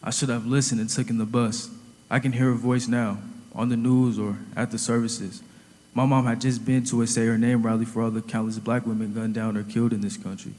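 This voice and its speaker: A man's voice: medium pitch, flat delivery, brisk, darkish tone, big-room sound, lightly acted. A man in an audio drama playing a wry teenage boy who narrates his own death in a conversational storytelling way. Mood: regretful.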